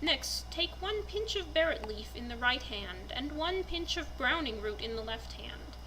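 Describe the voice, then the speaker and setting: A woman giving a deliberate, storybook-like dramatic reading of a written fantasy text. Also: high-pitched, expressive, noisy background. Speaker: reader presenting a fantasy book.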